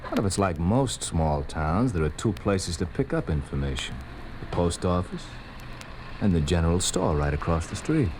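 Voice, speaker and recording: A man's voice: deep voice, sing-song, normal speed. An actor playing a brash, leering secret police henchman in a 1950s radio drama, with cocky, glib, slangy delivery. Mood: sly, leering. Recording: hissy, noisy old radio recording.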